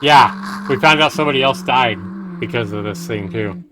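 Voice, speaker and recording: A man live-streaming a visual novel, reading it aloud in a dramatic, deliberate way with casual commentary. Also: mid-range voice, noisy background. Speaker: game streamer.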